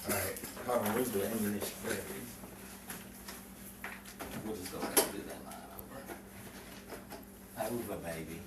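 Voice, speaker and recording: A man bantering casually and jokingly during a rehearsal, relaxed and playful in his phrasing. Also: lowish voice, moderate pace, long pauses. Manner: playful, jokey. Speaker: actor in an amateur audio drama. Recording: echoey room, distant mic, clear background noise.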